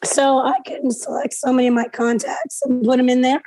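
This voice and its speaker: A hoarse woman teaching a class over a video call, explaining each step aloud and often clearing her throat. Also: high-pitched, level tone, fairly quick, warm tone, rough recording. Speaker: instructor.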